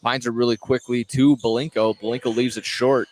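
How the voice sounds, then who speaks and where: A man's voice: mid-range voice, little pitch movement, fairly quick, darkish tone. A man doing live play-by-play commentary of a basketball game as the announcer. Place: indoor high school gym. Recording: noisy gym ambience, bouncing balls.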